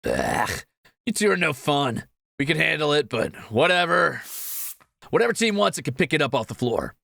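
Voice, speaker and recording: Man acting a high, lively, cheeky thief. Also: normal speed, creaky, no echo, studio quality, full character voice. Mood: flippant. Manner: teasing, flippant, animated. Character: cheeky, greedy young male thief, teasing adventurer. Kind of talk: fantasy audio roleplay acting.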